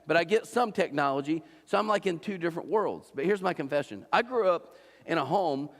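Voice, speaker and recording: Preacher in his 40s from Ohio, slightly high voice, chatty sermon delivery with asides to the crowd, long church-hall reverb. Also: animated, a little fast. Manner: conversational, repeats and restarts, asks the crowd "right?". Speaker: pastor. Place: church auditorium.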